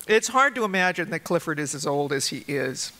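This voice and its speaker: A woman's voice: low-pitched, big pitch swings, fairly quick, fairly bright tone. A woman introducing a speaker at a conference, reading a scripted introduction with dry, teasing humor. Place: conference lecture hall.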